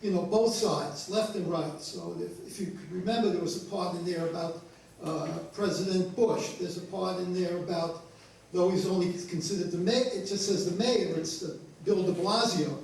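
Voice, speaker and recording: A male playwright informally explaining his work at a talkback, halting and repeating phrases. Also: sits high, gravelly, a little mellow. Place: indoor stage at an arts venue. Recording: echoey room, distant mic, faint noise.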